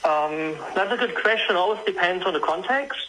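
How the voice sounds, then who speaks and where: A man's voice: high-pitched, expressive, brisk. A man from Germany with a Scottish family, explaining casually as a guest expert calling in to a radio show. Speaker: radio guest, freelance wine consultant and sommelier. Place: remote call to a radio show. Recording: phone-in call with faint noise.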